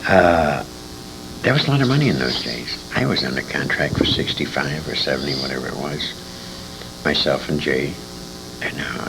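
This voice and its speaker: Older man, very deep, gravelly fry, rambling storyteller, interview guest, noisy amateur recording. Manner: rambling, self-correcting storyteller. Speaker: interview guest, former radio actor. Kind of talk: reminiscing interview talk.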